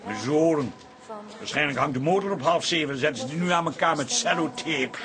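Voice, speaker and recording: A man's voice: a little high, a little fast, a crowd in the background, close to an everyday voice. An actor playing a nervy, complaining, paranoid man on holiday in a radio comedy. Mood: grumbling. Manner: complaining, excitable. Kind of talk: Dutch radio comedy drama acting.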